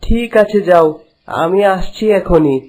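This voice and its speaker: A man's voice: high voice, measured pace, a little mellow, rough recording. A man voicing an earnest, troubled young prince in a cartoon, speaking politely. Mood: earnest, concerned. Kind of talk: cartoon voice acting.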